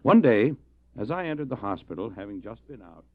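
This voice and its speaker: An actor playing a kindly missionary doctor who tells stories in an expressive, folksy way in an audio drama. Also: male voice, medium pitch, brisk, heavy background noise, close to an everyday voice. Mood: warmly reminiscing. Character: kindly, unhurried missionary doctor and sled-dog owner in Labrador. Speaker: actor, storyteller within the drama. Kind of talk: radio drama acting, storytelling. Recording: dull, dry old cassette audio drama.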